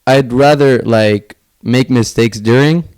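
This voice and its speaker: A man's voice: medium pitch, slow, darkish tone. A man from Finland chatting casually as an interview guest, in informal speech peppered with "like". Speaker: podcast guest, young entrepreneur.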